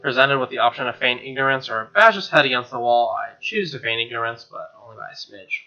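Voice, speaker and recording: A man's voice: medium pitch, expressive, fast talker, pushed and bright. A man reading a visual novel aloud, mixing story narration with casual, sweary, incredulous asides. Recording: dull lo-fi mic under guitar music.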